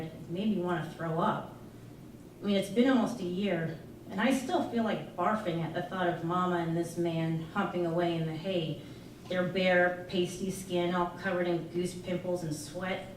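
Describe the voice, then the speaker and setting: A woman giving an expressive live reading of a first-person novel, narrating as a candid, troubled young girl. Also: on the low side, normal speed, slight background noise. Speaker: reader at a live literary performance. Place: live performance in an arts venue. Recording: echoey live room, distant mic, music. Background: music playing, guitar.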